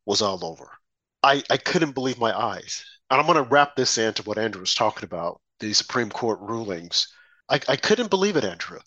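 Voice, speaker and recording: A man co-hosting a political talk show, hoarse from a mild cold, telling rambling, animated stories. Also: medium pitch, no echo, low-quality recording. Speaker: podcast co-host.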